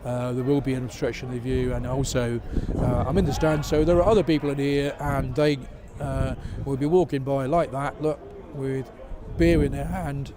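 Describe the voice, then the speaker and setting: A hoarse, croaky man with a lilt commentates on a local rugby match in a mid-range voice. He talks in a chatty, self-deprecating way with plenty of ums and uhs. A crowd of spectators murmurs clearly around him in the stand. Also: medium pitch, fairly even intonation, normal speed, hardly any pauses. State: hoarse, losing his voice. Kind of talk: solo pre-match commentary for an online stream. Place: seated in a stand at an outdoor rugby ground.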